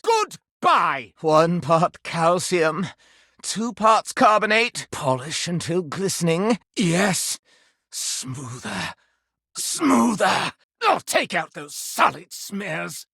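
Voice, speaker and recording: An aged, self-important silver candelabra from a video game, speaking in grandiose, theatrical flourishes. The voice is bright and forceful, with enormous swoops in pitch. Delivery is slow and savoured, haughty and hungry for scandal. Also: male voice, sing-song, dry recording, clean studio sound, exaggerated voice. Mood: dramatic dismay, then fussy, affronted. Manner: grandiloquent, theatrical, swooping from hushed to booming declamation. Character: haughty, melodramatic antique silver candelabra who lives for scandal. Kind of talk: scripted video game character voice acting.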